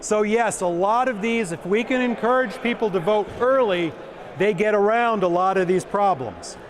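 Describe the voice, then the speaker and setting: Booming high-voiced man giving a talk. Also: moderate pace. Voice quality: big booming voice. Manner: joking, self-deprecating, repeats questions aloud. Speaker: conference speaker. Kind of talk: presentation and Q&A.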